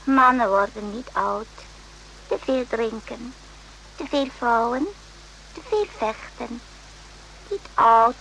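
A woman's voice: on the high side, slow pace, vocal fry, long pauses, a bit bright, exaggerated voice. A woman playing an old Vietnamese servant widow in a radio drama, speaking in broken, clipped pidgin phrases. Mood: flat, resigned. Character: old Vietnamese widow, terse, worldly-wise servant in army barracks. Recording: noisy hummy old radio recording.

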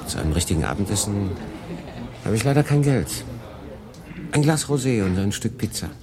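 Weary wartime refugee in a radio drama, lowish voice, rambly and confiding, busy cafe behind him. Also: male voice, sing-song, normal speed. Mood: eager, inviting. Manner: confiding, rambling, addressing a silent listener. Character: restless male German refugee stranded in wartime Marseille, world-weary. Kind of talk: radio play acting, conversational monologue. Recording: busy café murmur behind. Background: crowd in a café.

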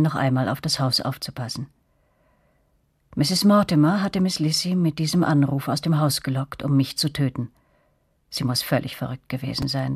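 An actress playing a grown woman who narrates a German radio drama reflectively, with a husky, breathy voice. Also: a bass voice, dry recording, clean studio sound, heavily acted. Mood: grave.

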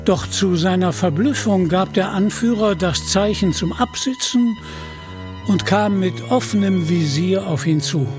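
A man narrating a scripted adventure story in an expressive, dramatic storytelling manner. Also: sits high, unhurried, over music. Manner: expressive, voices characters in dialogue. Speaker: audiobook narrator.

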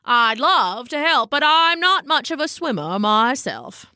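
A woman voicing a pompous, theatrical male raccoon from a storybook, with florid, drawn-out exclamations. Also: sits high, very animated, bright, forceful tone, no room sound, clean studio sound, pitched above the performer's own voice, exaggerated voice. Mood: grandly mournful. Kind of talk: storybook character voice.

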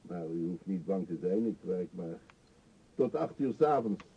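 An actor playing an eccentric, talkative older beggar in a radio drama, pushy and wry, confiding theatrically. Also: male voice, deep voice, expressive, normal speed, slightly rough, full character voice. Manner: chatty, insistent, theatrically confiding. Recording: dull, muffled old radio play recording.

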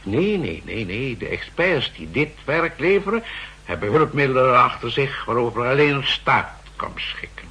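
An actor playing a fussy, insistent central-bank expert who explains pedantically, in 1960s radio drama style. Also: male voice, medium pitch, very animated, moderate pace, pushed and bright, slightly rough, full character voice. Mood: earnest, explaining. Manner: pedantic, emphatic, hesitating and repeating himself. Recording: noisy vintage 1960s radio recording.

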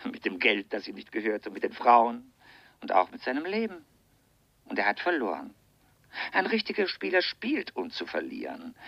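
Ein Schauspieler spielt in einem Krimi-Hörspiel einen weltgewandten Zyniker, der pointiert, theatralisch und mit bedachten Pausen spricht. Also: male voice, medium pitch, creaky, dry recording, muffled recording. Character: worldly, cynical informant discussing a gambler's downfall. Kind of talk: German radio crime play acting.

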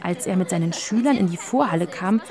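A woman narrating a children's story from a script in an expressive storytelling manner. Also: fairly low pitch, brisk. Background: music.

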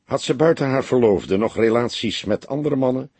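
A man's voice: mid-range voice, lively intonation, darkish tone, breathy edge, no echo. Een man met een Nederlands en hese stem speelt in een luisterboek een geduldige, vasthoudende rechercheur die afgemeten, korte vragen stelt. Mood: probing. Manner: short, pointed questions, measured. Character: probing, patient male police detective, calmly insistent.

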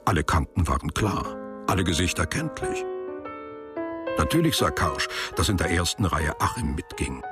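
A man narrating a literary radio drama as a sober, detached storyteller, with a deep and very husky voice. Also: lively intonation, moderate pace, over music.

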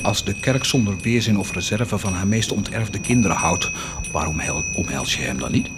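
An actor playing a fiery, indignant preacher in a theatrical radio play, declaiming with passion against the sale of indulgences. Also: male voice, on the low side, expressive, moderate pace. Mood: challenging. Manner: declamatory, impassioned. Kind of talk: theatrical radio drama acting. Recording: noisy radio play recording.

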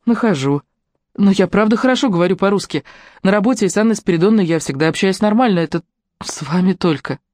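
A narrator voicing a shy but bold young woman who speaks Russian with a Ukrainian accent, in a lively, folksy manner. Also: mid-range voice, fast talker, no room sound, studio quality, pitched above the performer's own voice, close to an everyday voice. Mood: earnest.

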